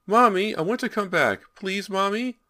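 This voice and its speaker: A man's voice: sits high, moderate pace, sharp tone, pitched above the performer's own voice. A man voicing a frightened little ghost boy in a tiny, childlike voice, pleading and wailing for his mother in a horror story. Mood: desperate wailing.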